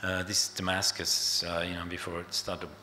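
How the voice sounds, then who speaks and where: Deep, flat-voiced older Australian man. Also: moderate pace, reverberant, a little hiss or hum. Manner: hesitant, lots of fillers and trailing phrases. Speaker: photographer giving an artist talk. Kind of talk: unscripted slide talk.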